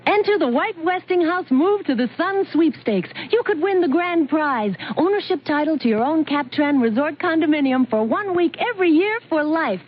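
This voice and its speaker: A woman's voice: sits high, animated, normal speed, hardly any pauses. A woman reading a scripted radio sweepstakes advertisement as an announcer. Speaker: commercial announcer. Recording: dull old radio recording.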